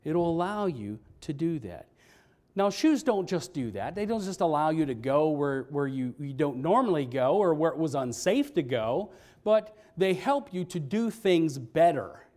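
A man's voice: a little high, very animated, a little slow, a little mellow. A middle-aged man preaching as pastor in a church, speaking in a conversational way and asking the congregation questions. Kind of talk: sermon. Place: church sanctuary, standing at a pulpit. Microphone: pulpit microphone, filmed by a fixed camera.

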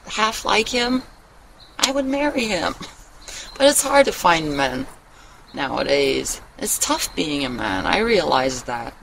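A woman's voice: mid-range voice, a bit bright, poor audio. Rambling, candid woman vlogger over outdoor noise. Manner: rambling, candid, swears casually. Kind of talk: casual confessional chat to camera. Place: outdoors in a wooden shelter in the woods. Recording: clear outdoor ambient noise.

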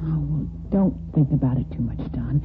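An actress playing a tired, tender-hearted nursing superintendent in a 1950s radio soap, speaking gently and haltingly. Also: female voice, deep voice, slight background noise, lightly acted. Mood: tender, encouraging. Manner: hesitant, gentle, halting speech. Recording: muffled old radio broadcast, faint music.

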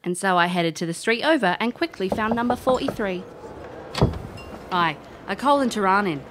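A woman's voice: mid-range voice, expressive, moderate pace, background noise, lightly acted. A woman playing a cocky, wry private investigator in an audio drama, casual and confident. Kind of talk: audio drama acting and narration.

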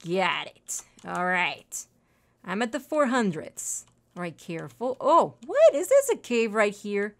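A woman's voice: mid-range voice, big pitch swings, a little slow. Chatty woman playing a game. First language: Spanish. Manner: chatty and apologetic, talks to viewers and to game animals and trees. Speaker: gaming channel host. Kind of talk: casual let's-play commentary.

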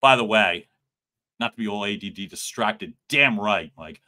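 A middle-aged man coaching live from a room at home, close on a big studio mic. His voice is loud and bright, and it swings widely in pitch as he jokes with his viewers. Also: moderate pace. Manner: animated, joking with viewers, loud and expressive. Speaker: communication coach. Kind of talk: livestream coaching talk. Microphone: large studio mic in front.

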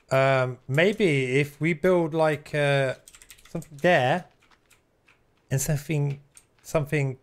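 A man chatting casually while live-streaming a game, reading out viewer comments and swearing now and then. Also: medium pitch. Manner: chatty, swears casually, reads chat aloud.

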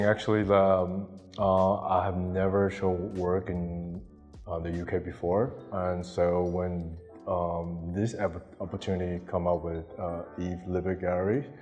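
A man's voice: low-pitched, a little mellow, big-room sound. A man in his thirties from Taiwan, answering interview questions in a hesitant way with many ums and restarts, on a lapel mic in a gallery room. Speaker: interviewee artist.

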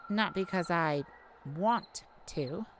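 A woman's voice: fairly low pitch, background noise. A woman playing a haughty yet kindly goddess of light in an ASMR audio roleplay, theatrical and teasing. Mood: curious, lofty. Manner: theatrical, teasing, mock-offended, with pauses.

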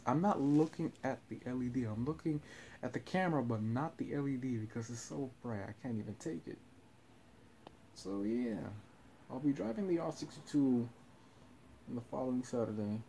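A man's voice: medium pitch, warm tone. A young man talking casually in a meandering, hesitant, offhand way, like an amateur vlogger on a handheld phone in a small bedroom. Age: young adult. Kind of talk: casual rambling vlog talk. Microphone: handheld phone at arm's length. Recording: noisy phone recording with clear hiss.

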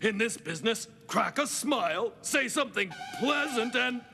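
A man voicing a boastful, grumpy male dragon who feels sorry for himself and talks in a theatrical, complaining way with sudden shouts. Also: very high-pitched, animated, normal speed, vocal fry, pitched above the performer's own voice, full character voice. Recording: music playing underneath.

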